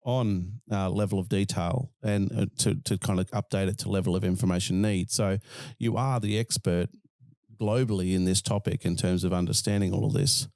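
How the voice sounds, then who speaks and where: A man's voice: low-pitched, steady tone, normal speed, creaky, a little mellow, dry recording, clean studio sound. A man hosting a podcast, introducing his guest and asking long, rambling questions. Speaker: podcast host.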